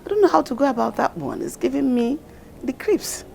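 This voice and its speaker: A woman's voice: high-pitched, expressive, a little fast, creaky, mellow, soft background music, exaggerated voice. A woman with a Nigerian accent playing an exasperated middle-aged queen in a film drama. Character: exasperated middle-aged queen worried about her unmarried son. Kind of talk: Nollywood film acting.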